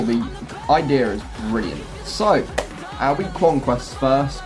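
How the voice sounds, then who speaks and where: A man hosting a gaming video, giving casual, chatty guide commentary and explaining as he goes. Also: medium pitch. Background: music.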